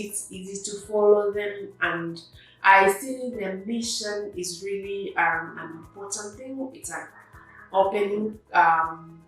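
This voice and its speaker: A woman from Tanzania, a doctor, giving an unhurried testimonial about a course, with many fillers. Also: medium pitch, heavy reverb, recorded from a distance, poor audio. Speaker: pediatric doctor, course participant.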